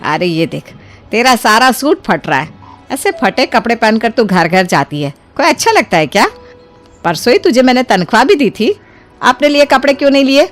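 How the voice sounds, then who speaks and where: Casting: actress as kindly older mother-in-law for moral-story cartoon, very lively intonation, motherly and colloquial, music underneath. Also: a little high, moderate pace. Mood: kindly scolding. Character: kind, generous older mother-in-law of the household. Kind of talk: acted Hindi moral story dialogue.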